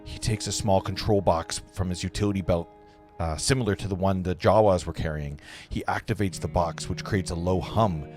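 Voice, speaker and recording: Low-pitched man narrating a script. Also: normal speed, a little mellow, soft background music. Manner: stumbles over words. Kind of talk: reading stage directions at a table read.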